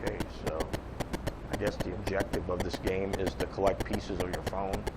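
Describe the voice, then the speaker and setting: A man's voice: deep voice, little pitch movement, moderate pace, very noisy. A man giving casual, rambling gameplay commentary as a channel host, grumbling and swearing in an offhand way, with game audio behind him. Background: loud retro video game music and sounds.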